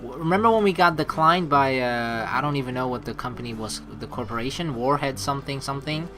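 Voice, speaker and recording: A woman's voice: very deep voice, quick pace. A young woman reading a game aloud as a streamer, adding chatty, casual asides as she goes. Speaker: let's play streamer. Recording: faint game music behind.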